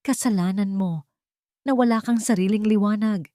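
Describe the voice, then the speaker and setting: A woman's voice: medium pitch, moderate pace, dark tone, no room sound, studio quality, pitched above the performer's own voice, close to an everyday voice. A woman voicing a protective mother in a narrated drama, controlled and firm as she faces a rival. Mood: firm defiance. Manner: controlled, pleading then firm.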